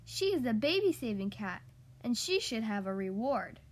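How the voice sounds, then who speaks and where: Woman reading as an eager, high-voiced storybook child. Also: big pitch swings, moderate pace.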